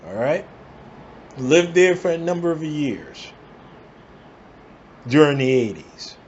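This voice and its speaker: Heated New man, slightly high voice. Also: animated, slow, a little hiss or hum. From the Bronx, New York. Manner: heated, emphatic, repeats "all right?" to the listener. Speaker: vlogger. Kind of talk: angry commentary rant. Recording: dull lo-fi recording.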